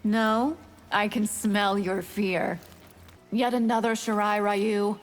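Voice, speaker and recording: Slow, predatory winged bloodsucker. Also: female voice, mid-range voice, fairly even intonation, soft background music. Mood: predatory taunting. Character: predatory winged female bloodsucker, taunting and hungry. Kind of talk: fighting game dialogue acting.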